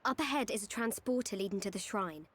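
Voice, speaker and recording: An actress playing a calm, thoughtful young heroine who speaks with quiet certainty in a video game. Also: female voice, medium pitch, fast talker, lightly acted. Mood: matter-of-fact.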